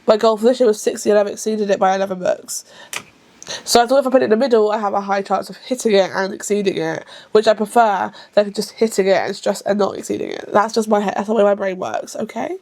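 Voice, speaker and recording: A chatty woman of about thirty, talking casually to the camera as a book vlogger. She rambles and often stops to correct herself mid-sentence, sometimes rushing her words. Her voice sits at an ordinary middle pitch. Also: fairly even intonation, a little mellow. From England. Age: around thirty. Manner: rushed at times.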